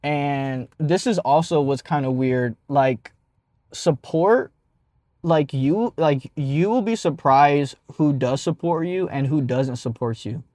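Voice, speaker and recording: A man's voice: on the high side, takes it slowly, darkish tone, no echo, studio quality. Young man who stutters, telling a story. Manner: stutters, with many fillers and restarts. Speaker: vlogger. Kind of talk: casual storytime vlog.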